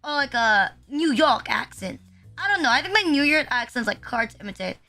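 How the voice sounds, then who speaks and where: A woman's voice: high voice, lively intonation, fairly quick, bright, forceful tone, faint music behind. A young woman from the Philippines chatting casually on a livestream, rambling with constant fillers and frequent swearing. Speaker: VTuber streamer.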